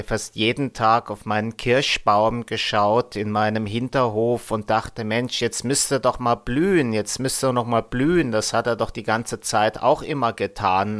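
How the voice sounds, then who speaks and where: A man's voice: medium pitch, fairly bright tone. A man hosting a community radio show over a Skype call, musing in a rambling, casual way and tagging his sentences with little questions, slightly under the weather. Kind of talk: casual solo radio chat. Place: home in a city, remote.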